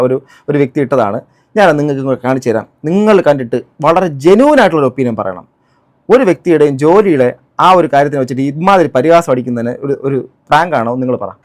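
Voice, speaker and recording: A man giving spoken commentary on a clip, indignant and full of rhetorical questions. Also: mid-range voice. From Kerala, India. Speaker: reaction vlogger.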